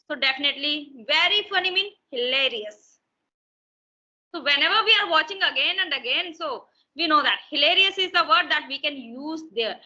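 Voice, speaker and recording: A woman's voice: high-pitched, pushed and bright, muffled recording. A woman with an Indian English accent, a native Hindi speaker, answering interview questions in hesitant English with many fillers and restarts. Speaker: interview guest, English learner. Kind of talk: casual conversational English practice.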